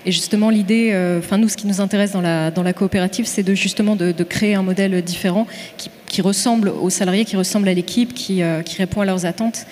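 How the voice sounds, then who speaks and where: Woman, mid pitch, earnest halting panel talk, hall reverb. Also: steady tone, a little mellow. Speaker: studio executive assistant, panelist. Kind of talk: panel discussion. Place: conference stage in a large hall. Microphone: handheld microphone. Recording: reverberant hall, faint ambience.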